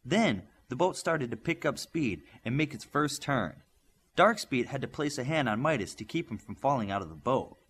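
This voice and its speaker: A man's voice: medium pitch, expressive, normal speed, a bit bright, husky. A man reading a scripted story as an audiobook narrator.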